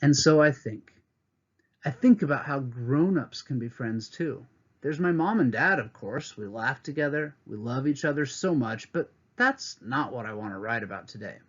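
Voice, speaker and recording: A man's voice: medium pitch, lively intonation, normal speed, lo-fi sound. A man teaching a read-aloud lesson to children, reading a picture book and adding teacherly asides from home.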